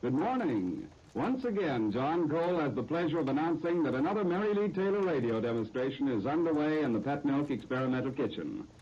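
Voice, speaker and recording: A man's voice: fairly high pitch, sing-song, fairly quick, hardly any pauses, a little mellow, husky. An American man announcing a sponsored 1930s radio show with genial, folksy, scripted sales patter. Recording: muffled old 1939 radio recording.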